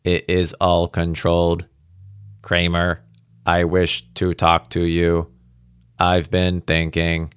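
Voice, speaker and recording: A man voicing an old professor's disembodied mind speaking through a ship, flat, faint and faltering in slow fragments. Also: deep voice, clear, resonant tone, dry recording. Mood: detached, commanding. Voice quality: toneless, faint, mechanical.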